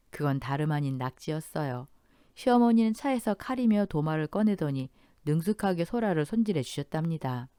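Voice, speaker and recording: A woman narrating a scripted first-person story in a gentle, polite storytelling manner. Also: low voice, clear, resonant tone, no room sound, studio quality.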